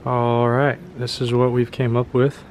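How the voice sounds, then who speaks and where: A man's voice: medium pitch, completely flat delivery, measured pace, very noisy. A young man vlogging casually about his own truck build, chatty and self-deprecating, filmed on a handheld phone in his home garage. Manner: self-deprecating, chatty, talks to camera while working. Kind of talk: casual DIY vlog.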